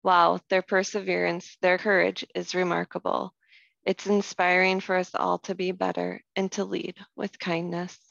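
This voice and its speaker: Female podcast co-host, gravelly, lowish voice, admiring tone, dry, dull recording. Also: level tone, normal speed, fairly bright tone, no room sound. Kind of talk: scripted reflection.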